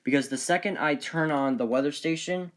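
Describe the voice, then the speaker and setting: A man presenting his collection in a casual, unscripted video, speaking haltingly and often trailing off. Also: fairly high pitch. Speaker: collector presenting weather radios. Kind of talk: casual unscripted show-and-tell.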